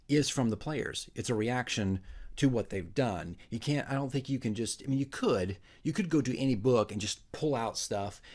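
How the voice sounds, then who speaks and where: A man's voice: medium pitch, darkish tone. A man working as a dungeon master, presenting his fantasy radio drama. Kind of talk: dramatic narration and casual commentary.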